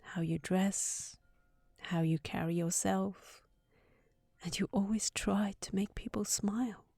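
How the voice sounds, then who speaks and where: Woman acting as young fairytale housekeeper, sensible, secretly lovelorn, tender and fretful, unhurried pace, medium pitch, fantasy audio roleplay. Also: fairly even intonation, creaky, breathy edge, no echo, clean studio sound, heavily acted. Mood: wistful, hushed. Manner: tender, fretful rambling with sighs and hushed asides.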